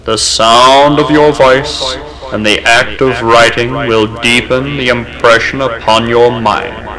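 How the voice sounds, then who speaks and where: Very slow, flat-voiced male hypnotist. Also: mid-range voice, crowd noise, rough recording. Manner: slow soothing countdown, repetitive and hypnotic; commanding suggestions. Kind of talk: hypnosis induction from an old record. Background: music playing under the voice.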